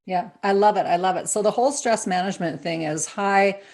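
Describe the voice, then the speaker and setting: A woman's voice: mid-range voice, fairly quick, darkish tone. A woman hosting a casual interview, speaking in an informal, affirming and conversational way. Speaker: interview host.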